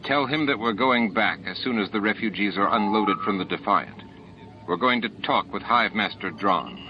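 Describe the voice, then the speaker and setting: A man voicing a male station commander who is measured, firm and weary, in a science fiction audiobook. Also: lowish voice, close to an everyday voice. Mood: gentle, measured. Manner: mild, measured. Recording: dull lo-fi tape with clear background noise.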